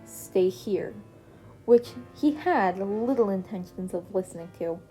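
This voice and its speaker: Mellow-voiced woman reading a fanfic. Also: mid-range voice, expressive, moderate pace, faint music behind, poor audio. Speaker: podfic reader. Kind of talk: reading fan fiction aloud.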